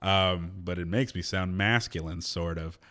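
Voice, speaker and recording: A man hosting a radio show in casual, joking banter, his voice hoarse from a lingering cold. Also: deep voice, measured pace. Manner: wry, joking, lively. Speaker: radio host. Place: radio studio.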